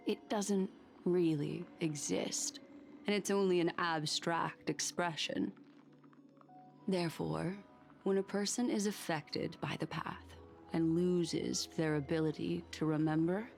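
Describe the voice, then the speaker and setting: An actress playing a composed, scholarly and somewhat mysterious woman in a video game, explaining in measured, formal speech. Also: lowish voice, creaky, darkish tone. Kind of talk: game dialogue voice acting.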